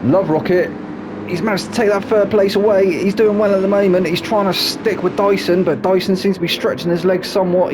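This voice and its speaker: Man, race commentator, snuffly nose, higher-pitched voice, car engine noise behind, chatty tag questions. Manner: chatty, asks tag questions, drops low for asides. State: snuffly from hay fever. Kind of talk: live sim racing commentary.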